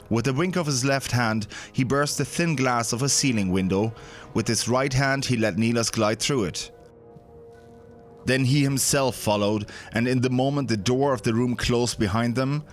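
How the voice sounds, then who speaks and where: A man reading scripted, dramatic narration for a science-fiction audio drama. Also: mid-range voice. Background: background music.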